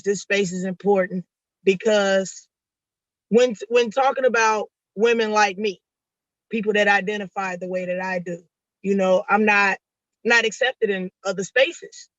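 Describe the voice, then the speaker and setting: A woman's voice: medium pitch, fairly bright tone, no echo. A woman speaks earnestly on a panel, circling back over her words and leaning on "you know." Her voice holds to a narrow, level line. The call audio comes through dull and lo-fi, with no room sound around it. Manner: earnest, repeats phrases, many "you know" fillers. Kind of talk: personal testimony on a panel.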